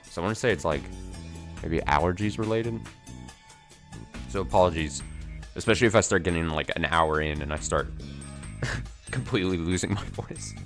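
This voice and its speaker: Low man, casual let's play host, voice a bit worn, faint music behind. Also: normal speed, long pauses. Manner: casual, rambling, reads dialogue aloud. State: losing his voice slightly. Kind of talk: let's play commentary and translated reading.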